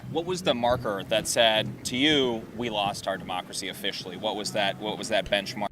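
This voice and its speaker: Fast-talking male street interviewer. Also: fairly low pitch. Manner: probing, follows up with questions. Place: outdoors on a busy urban street. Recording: noisy outdoor street with traffic.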